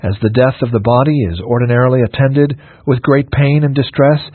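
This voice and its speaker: A man reading a scripted sermon in a measured, solemn and formal manner. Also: medium pitch, steady tone, no echo. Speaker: reader of a historical sermon. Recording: narrow phone-like radio sound, dry.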